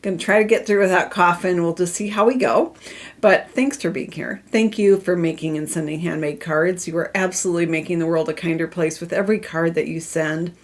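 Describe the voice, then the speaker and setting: A woman's voice: lowish voice, fairly even intonation, fairly quick. Congested, chatty woman craft host. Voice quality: voice not strong, cough drop in mouth. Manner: chatty and friendly, many fillers, laughs at herself. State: congested from a cold. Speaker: craft demonstrator hosting a live stream. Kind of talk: casual live craft tutorial chat. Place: home craft room.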